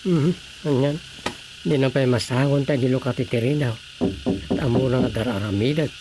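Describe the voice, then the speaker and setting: A man's voice: medium pitch, moderate pace, mellow, close to an everyday voice. Man acting a grumbling Ilocano villager, noisy recording. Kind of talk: radio comedy drama acting.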